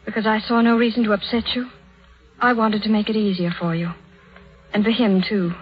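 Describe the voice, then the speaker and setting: An actress playing a loyal, plain-spoken, quietly lovelorn woman in a 1930s radio drama. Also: medium pitch, level tone, a little fast, breathy edge, big-room sound, faint music behind, lightly acted. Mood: firm, fervent. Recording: muffled 1937 radio recording.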